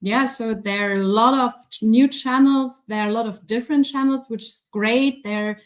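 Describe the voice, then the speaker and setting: A woman with a German accent, a guest resin artist chatting casually on a video call, pausing often with ums. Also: a little high, fairly even intonation. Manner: hesitant, many ums, laughs easily. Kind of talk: casual chat.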